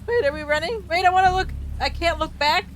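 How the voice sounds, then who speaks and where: A woman's voice: very high voice, quick pace, fairly bright tone, slight background noise. A woman game streamer giving casual let's play commentary, with game music behind her.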